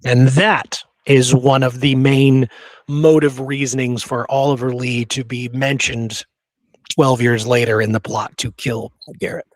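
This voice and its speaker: A man's voice: mid-range voice, level tone, unhurried, dry recording, low-quality recording. A man talking casually about history as a podcast host, repeating phrases for emphasis.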